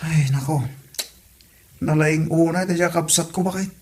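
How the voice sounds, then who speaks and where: High-voiced grumbling Ilocano husband acted by a man. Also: fairly even intonation, normal speed, lightly acted. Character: exasperated husband grumbling about his spendthrift sibling. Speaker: actor in a comedy drama. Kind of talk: Ilocano comedy radio drama acting.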